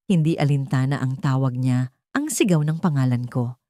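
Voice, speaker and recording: A woman narrating a scripted first-person romance story in measured, dramatic short phrases. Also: extremely low pitch, expressive, mellow, no echo, clean studio sound.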